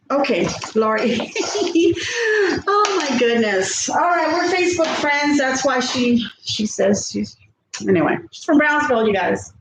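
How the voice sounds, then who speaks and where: A woman's voice: fairly high pitch, reverberant, background noise. A woman in her fifties from South Texas with a Texan accent, chatting on a live cooking stream, rambling in a friendly way. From South Texas, USA. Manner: rambling, friendly, often laughs and trails off. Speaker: cooking vlogger on a livestream. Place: home kitchen.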